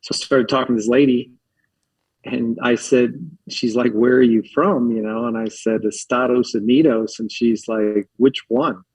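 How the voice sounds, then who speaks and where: Husky-voiced chatty man. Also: mid-range voice, steady tone, moderate pace, darkish tone, dry recording, low-quality recording. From Philadelphia, US. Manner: rambling, filler-heavy, uses lots of likes. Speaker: podcast guest. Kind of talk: casual storytelling chat.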